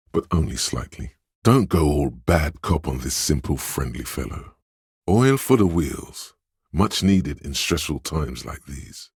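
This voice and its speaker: A man voicing a suave, coaxing inner voice of persuasion in a video game, with a husky, insinuating, knowing delivery. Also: very deep voice, darkish tone, no echo, studio quality, full character voice. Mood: gently guiding. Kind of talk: video game voice acting, advisory narration.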